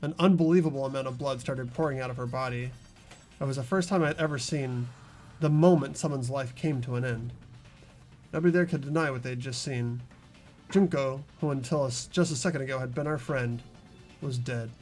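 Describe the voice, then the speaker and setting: A man's voice: mid-range voice, fairly quick, darkish tone, soft background music, close to an everyday voice. A man playing an earnest teenage boy student who narrates a game story in a dramatic manner. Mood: grave.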